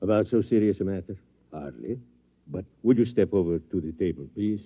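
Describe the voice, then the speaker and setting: A man acting in an old radio drama as a coolly confident scientist doctor, speaking in a formal, deliberate, courteous way. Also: low voice, expressive, slightly rough, full character voice. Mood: courteous, composed. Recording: old radio broadcast, dull band-limited sound.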